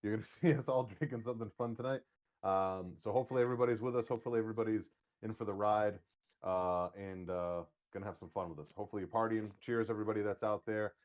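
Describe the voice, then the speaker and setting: A man's voice: on the low side, fairly even intonation, quick pace, highs cut off. A man hosting a casual chat show, rambling and joking with crude language. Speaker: podcast host.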